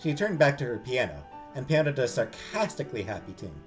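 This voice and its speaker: Guy streamer reading a visual novel out loud, really animated, game music quietly behind. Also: medium pitch, brisk. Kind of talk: expressive story narration with casual asides.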